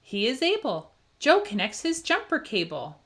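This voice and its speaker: A woman reading a children's picture book aloud with expressive, rhythmic phrasing and dramatic emphasis for young listeners. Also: on the high side, moderate pace. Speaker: children's story reader.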